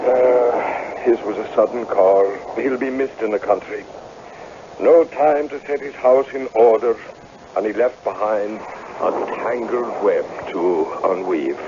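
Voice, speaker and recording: An actor playing a stern, coldly mocking laird in an old-time radio drama, measured and sardonically polite. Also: male voice, fairly low pitch, natural voice. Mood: cool, businesslike. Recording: dull, faintly noisy vintage radio recording.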